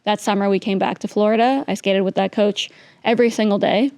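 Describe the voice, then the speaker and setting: A woman's voice: medium pitch, steady tone, a little fast, darkish tone, clear, resonant tone, dry recording. Chatty young woman from New York. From New York City. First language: Russian. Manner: chatty, laughs often, many fillers. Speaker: podcast guest. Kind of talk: casual interview chat.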